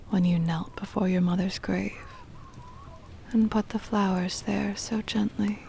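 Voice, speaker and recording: A woman voicing a centuries-old possessive, doting vampire mistress in an intimate ASMR roleplay, whispery and breathy, with tender, formal old-fashioned phrasing. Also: medium pitch, dark tone, a little hiss or hum. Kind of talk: intimate ASMR roleplay, scripted dramatic monologue.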